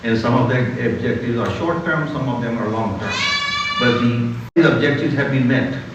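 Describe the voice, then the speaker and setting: An older man, not a native English speaker, giving a slow, deliberate community address with frequent "you know" and "uh". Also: medium pitch, background noise. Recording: distant, dull, echoey hall mic.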